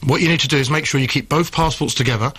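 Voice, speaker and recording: A man's voice: medium pitch, rapid-fire, fairly bright tone, slightly rough. A man giving blunt immigration advice on a radio phone-in, telling stories with animation. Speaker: immigration adviser guest.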